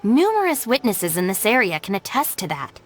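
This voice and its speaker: A woman voicing a sensible, composed young gang deputy in a game, polite and measured with dry incredulity. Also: medium pitch, big pitch swings, slight background noise, close to an everyday voice. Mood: calm, polite. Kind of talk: anime-style game dialogue.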